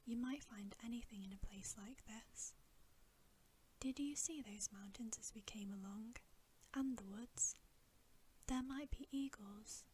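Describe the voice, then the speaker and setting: Slow whispering woman reading a bedtime story. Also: fairly high pitch, a little hiss or hum. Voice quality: breathy, unvoiced whisper. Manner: gentle, unhurried, soothing storytelling. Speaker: ASMR reader.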